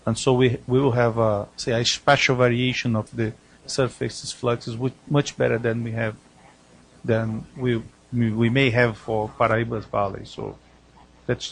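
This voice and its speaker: A man's voice: medium pitch, poor audio. A man chairing an academic discussion, speaking off the cuff and often rephrasing himself. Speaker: session chair.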